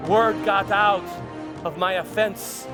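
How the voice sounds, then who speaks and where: A man playing a proud, exasperated Roman governor in a theatrical first-person stage monologue, halting and repeating words for emphasis as he tells his story. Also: high-pitched, fairly even intonation. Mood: defiant, exasperated. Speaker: church dramatist performing a monologue. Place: church hall before an audience. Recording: echoey church hall, music behind.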